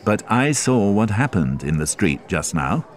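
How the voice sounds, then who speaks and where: A man as a soft-spoken, firm, kind mayor. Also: on the low side, sing-song, a little slow. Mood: gentle. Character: kind, gentle male town mayor.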